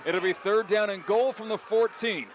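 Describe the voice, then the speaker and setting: American male football play-by-play announcer, high voice, dramatic and emphatic, stadium noise clearly behind him. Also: moderate pace. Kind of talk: live American football radio play-by-play commentary. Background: outdoor stadium ambience.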